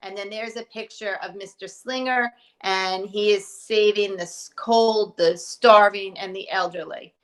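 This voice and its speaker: A woman's voice: mid-range voice, fairly even intonation, measured pace, few pauses, a bit bright. A woman speaking expressively and directly to children as a teacher doing a storybook read-aloud. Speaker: teacher reading aloud to pupils.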